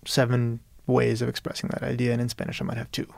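A man's voice: mid-range voice, monotone, quick pace, creaky, no echo. A man from Peru talking as a writer in an interview, thoughtful and searching, often restarting and adding "you know". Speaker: guest writer. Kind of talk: reflective interview conversation.

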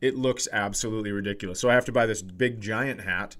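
A man's voice: lowish voice, quick pace, fairly bright tone. A middle-aged man chatting casually as a comedy podcast host, telling self-deprecating stories and acting out the exchanges he quotes.

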